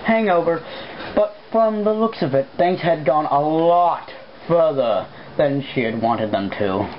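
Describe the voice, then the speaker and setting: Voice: mid-range voice, lively intonation, unhurried. Irreverent amateur reader on a dull, noisy recording. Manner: irreverent, swears at own slip-ups. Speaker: amateur fan fiction reader. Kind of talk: casual read-aloud with side remarks. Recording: dull lo-fi home recording, background noise.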